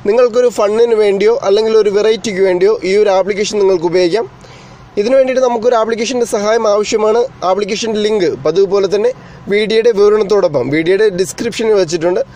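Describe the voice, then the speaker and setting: A man's voice: sits high, fast talker, dark tone. A man narrating an explanatory tech tutorial as its host, in a formal, wordy way that addresses viewers directly.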